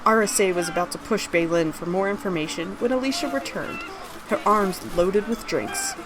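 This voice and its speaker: A woman's voice: mid-range voice, normal speed, music in the background, poor audio. A woman reading her own fantasy story aloud, narrating scripted prose and its dialogue.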